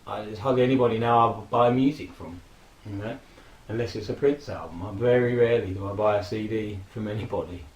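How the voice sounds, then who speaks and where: Hoarse man reminiscing in an echoey room. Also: fairly low pitch, a little fast, hardly any pauses, highs cut off. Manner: rambling, reminiscing, with frequent laughs and fillers. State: hoarse from a throat infection. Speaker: vlogger. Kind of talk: casual personal tribute monologue.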